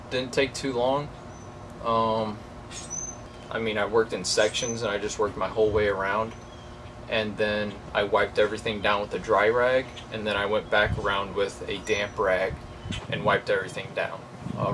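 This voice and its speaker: A man in his twenties talking casually through a how-to job as a DIY video host, recorded outdoors on a camera mic. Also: on the low side. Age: 20s. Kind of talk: casual how-to vlog talk. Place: outdoors beside a shed. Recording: clearly noisy outdoor ambient hum.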